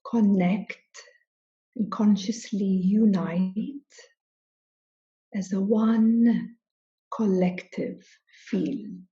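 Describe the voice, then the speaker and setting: Very slow-speaking woman guiding meditation. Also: medium pitch, fairly even intonation, warm tone, lo-fi sound. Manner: slow, deliberate phrases with long pauses. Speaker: spiritual teacher leading meditation. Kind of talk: guided meditation.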